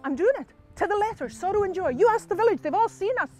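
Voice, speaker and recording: A woman's voice: high-pitched, expressive, a little slow, faint music behind. A woman with a Scottish accent voicing a smug, angry village husband who justifies himself with insistent, gleeful literalness in a live told story. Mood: smug, defiant.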